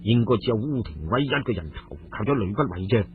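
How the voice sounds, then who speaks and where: A man voicing a battle-wise, hearty but cautious elder sworn brother in a Cantonese radio story, speaking in a measured way. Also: on the low side, animated, voice pushed down, lightly acted. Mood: sighing relief. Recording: phone-like, muffled audio with music.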